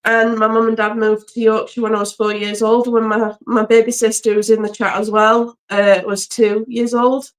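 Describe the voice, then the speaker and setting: A woman from England, a guest on a live stream, chatting warmly and laughing often over a video call from home. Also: fairly high pitch, level tone, normal speed, hardly any pauses. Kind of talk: casual live-stream chat. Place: home by the sea.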